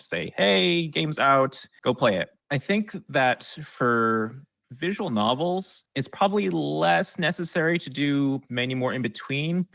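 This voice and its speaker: A man's voice: mid-range voice, creaky, a bit bright, no room sound. A man giving conversational, explanatory advice as an expert guest in an interview. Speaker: guest expert on game trailers.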